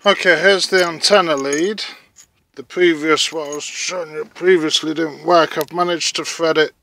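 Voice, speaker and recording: A man giving casual, halting hands-on commentary as he thinks aloud through a DIY job, recorded on a handheld phone. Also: on the high side, fairly even intonation, vocal fry, bright, forceful tone. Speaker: vlogger narrating a DIY car radio install.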